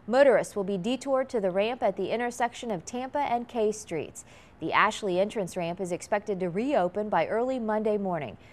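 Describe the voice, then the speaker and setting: A woman reading scripted television news as a morning anchor. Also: medium pitch.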